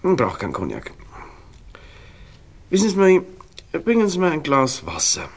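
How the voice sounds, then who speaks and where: An actor playing a nervous, well-off young gentleman with a Viennese accent, halting and distracted, in a radio play. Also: fairly high pitch, huge pitch swings, vocal fry, breathy edge, full character voice. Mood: restless, commanding. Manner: halting, distracted, faintly bossy.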